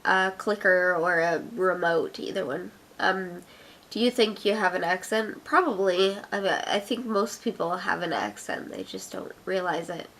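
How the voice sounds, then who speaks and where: A woman's voice: fairly low pitch, unhurried, a bit bright. Giggly young woman with an Ottawa accent. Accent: Ottawa Canadian. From Canada. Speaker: vlogger. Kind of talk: casual accent challenge, reading her own fiction aloud.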